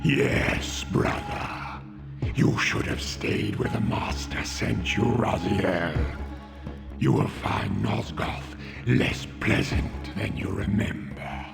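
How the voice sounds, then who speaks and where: A man voicing a decayed, monstrous vampire in a video game, weary, bitter and menacing, with a gravelly, hissing croak and drawn-out delivery. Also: deep voice, animated, loud music behind the voice, full character voice. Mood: sly, bitter. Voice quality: gravelly, croaking, breathy hiss. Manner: slow, drawn-out, theatrical menace. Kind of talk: video game cutscene acting.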